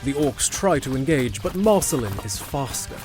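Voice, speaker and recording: A man's voice: mid-range voice, sing-song, moderate pace. A man narrating a scripted fantasy audio drama as a storyteller. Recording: music behind.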